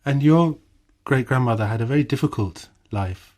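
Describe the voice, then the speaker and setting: A male radio host interviewing a guest in a studio, asking gentle, measured questions. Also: medium pitch.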